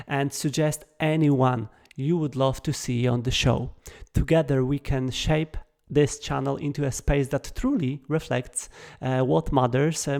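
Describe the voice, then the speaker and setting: A man's voice: medium pitch, gravelly, dark tone. A man hosting an interview show, upbeat, sometimes stumbling over repeated words. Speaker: show host. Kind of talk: casual interview hosting with a scripted intro.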